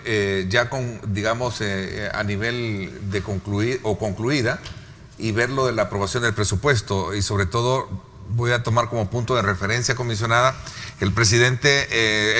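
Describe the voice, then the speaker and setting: A man with a Salvadoran accent hosting a political interview in a formal, wordy and halting manner. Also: medium pitch, pushed and bright, a little hiss or hum. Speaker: talk show host.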